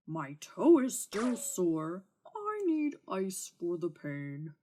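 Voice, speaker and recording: A woman's voice: fairly low pitch, huge pitch swings, slow pace, mellow, a little hiss or hum, full character voice. A woman voicing a hurt, demanding dragon in a children's storybook, complaining plaintively and drawing out the words. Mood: whining, sore.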